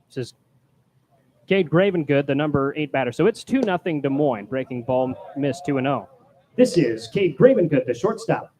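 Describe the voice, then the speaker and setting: A man calling live baseball play-by-play from a booth as announcer, projecting loudly on the calls. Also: on the high side, animated, moderate pace. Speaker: baseball play-by-play announcer, also doing public address. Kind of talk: live play-by-play sports commentary. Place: press booth at a ballpark. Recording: clear background noise.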